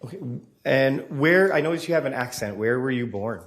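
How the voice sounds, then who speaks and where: A man's voice: mid-range voice, lively intonation, normal speed. A man working as a defense attorney, questioning a witness informally in a courtroom and rephrasing as he goes.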